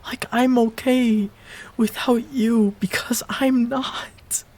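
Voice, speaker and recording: A man acting a heartbroken ex-boyfriend in an intimate romantic audio roleplay, pleading in a halting, breathy, emotional way. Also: extremely high pitch, steady tone, darkish tone, heavily acted. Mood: tearful, pleading.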